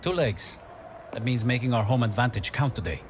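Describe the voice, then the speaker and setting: Casting: man to play earnest, stern football boss for a game, medium-pitched, measured team-talk delivery, some noise behind. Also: expressive, lightly acted. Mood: rousing, focused. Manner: measured, deliberate speech-making. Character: stern, earnest male football manager rallying his team. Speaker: football manager. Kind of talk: scripted video game acting.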